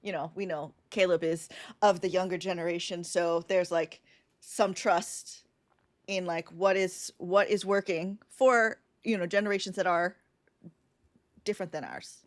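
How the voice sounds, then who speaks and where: A woman's voice: lowish voice, fairly even intonation, a little slow, no room sound. A woman co-hosting a podcast, thinking aloud casually with many fillers. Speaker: podcast co-host. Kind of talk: casual live podcast brainstorm.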